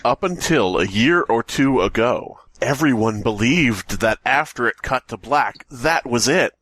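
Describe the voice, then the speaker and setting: A man's voice: mid-range voice, expressive, measured pace, a bit bright, no echo. A man co-hosting a comedy podcast, reading stories aloud and tossing in wry, casual jokes. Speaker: podcast co-host.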